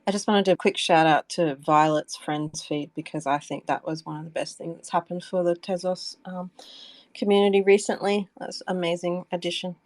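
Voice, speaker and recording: A woman's voice: fairly low pitch, fairly even intonation, brisk, no echo. A woman speaking casually and thoughtfully, a bit tentative, in a live audio discussion.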